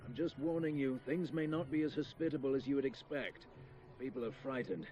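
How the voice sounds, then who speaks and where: An actor playing a weary templar knight in a fantasy game, giving grave, plain-spoken warnings. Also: male voice, mid-range voice, brisk, warm tone, lightly acted. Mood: weary, cautionary. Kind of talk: fantasy video game dialogue acting. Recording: dull, band-limited game audio, music behind.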